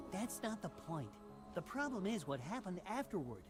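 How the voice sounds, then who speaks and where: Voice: medium pitch, very animated, measured pace. A voice actor playing an anxious student in a game cutscene, explaining in an urgent, defensive way. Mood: exasperated. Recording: game cutscene over music.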